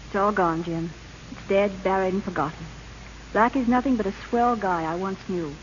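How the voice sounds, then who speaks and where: A woman's voice: medium pitch, moderate pace, lightly acted. An actress playing a wistful, sincere young woman in an old radio drama, with earnest theatrical delivery on a muffled, hissy recording. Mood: happy. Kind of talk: 1930s-40s radio drama acting.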